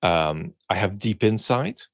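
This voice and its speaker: A middle-aged man with a deep, gravelly voice talks through viewer questions in a measured, reflective way. He grew up in the Soviet Union with Russian as his first language, and he pauses often to search for words. The recording is dry and close, with no room echo. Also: animated. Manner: measured, thinking aloud with many ums and pauses. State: unwell, speaking while cared for. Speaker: host answering viewer questions. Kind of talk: reflective solo chat.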